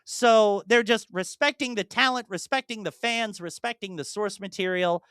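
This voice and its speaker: A podcast host chatting casually in an animated, rambling way, slipping into imagined dialogue. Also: medium pitch, moderate pace, clean tone, dry recording, studio quality. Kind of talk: casual chat, enthusiastic monologue about films.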